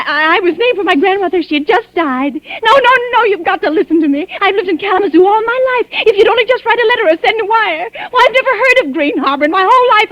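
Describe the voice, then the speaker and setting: A high-voiced, terrified captive woman. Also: a bit bright, no room sound. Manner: stammering, breathless repetitions, overwrought. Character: terrified, fragile, pleading woman held captive, keeping a diary. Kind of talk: 1940s radio drama acting. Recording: dull, lo-fi old radio recording.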